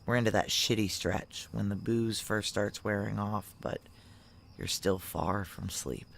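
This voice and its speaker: A woman's voice: extremely low pitch, fairly even intonation, measured pace, creaky, a little hiss or hum, full character voice. An actress playing a tough, blunt, world-weary female security operative in a noir audio drama, speaking in terse, salty phrases. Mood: weary, brooding. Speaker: lead actor and narrator in an audio drama. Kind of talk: noir audio drama acting with intimate first-person narration.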